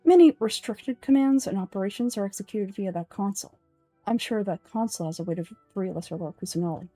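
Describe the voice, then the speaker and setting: A woman voicing a calm, aloof young male scholar with a deadpan, measured delivery in a fantasy game. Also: mid-range voice, no room sound, soft background music, natural voice, poor audio. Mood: calm, dry.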